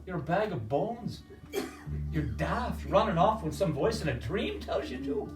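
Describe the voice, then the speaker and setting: A man's voice: high voice, very animated, a little slow, echoey room, distant mic, music in the background, voice pushed up. A man voicing a hearty, brusque older innkeeper. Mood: scolding. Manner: brusque, scolding, jovial.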